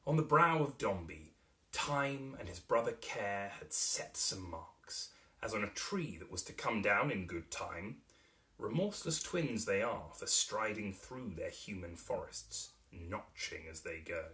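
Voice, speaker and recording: A man narrating a classic novel as a dramatic reading, theatrical and expressive, with carefully shaped phrasing. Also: fairly low pitch, measured pace, distant mic. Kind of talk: dramatic literary audiobook narration.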